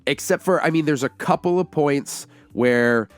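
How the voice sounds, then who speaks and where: A man's voice: on the high side, moderate pace, soft background music. A man co-hosting a film review show, talking in a casual, conversational way. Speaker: co-host of a movie review show.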